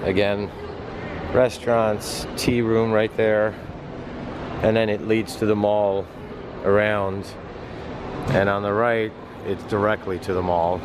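Low-voiced man guiding a walking tour. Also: flat delivery, slow, long pauses, noisy background. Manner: casual, rambling, self-correcting. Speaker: vlog host, tour guide. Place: outdoors on a city boulevard.

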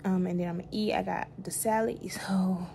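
Chatty young woman with a gravelly edge. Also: medium pitch, a little fast, slight background noise. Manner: chatty, rambling, relaxed, talks to viewers as y'all. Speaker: vlogger. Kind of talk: casual day-in-my-life vlog. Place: bedroom at home, indoors; later in a car in heavy rain. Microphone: phone camera held close at arm's length.

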